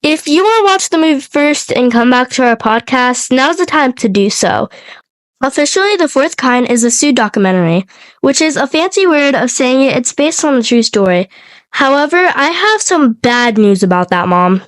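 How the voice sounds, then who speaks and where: High-voiced congested young woman podcaster. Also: lively intonation, moderate pace, no echo. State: sick with a cold. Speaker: podcast co-host and storyteller. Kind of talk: podcast storytelling, part scripted, part casual chat.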